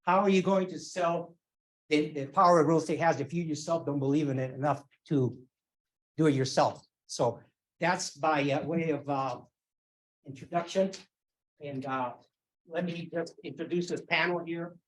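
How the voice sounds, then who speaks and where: A man moderating a real estate sales meeting panel, earnest and encouraging, with halting starts. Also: on the high side, steady tone, rough recording. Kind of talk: casual meeting talk. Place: office meeting room.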